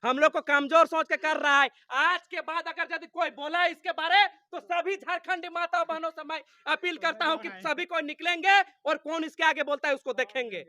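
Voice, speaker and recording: A man's voice: very high-pitched, steady tone, normal speed, hardly any pauses, sharp tone, no room sound. A man with a Jharkhand accent, a farm worker being interviewed, making an impassioned political appeal in an insistent, emphatic way with repeated phrases.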